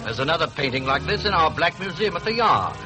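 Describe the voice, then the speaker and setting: An actor playing a brisk, authoritative police inspector, clipped and urgent, in a 1940s radio drama. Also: male voice, on the high side, very animated, music in the background, low-quality recording. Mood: grave, urgent.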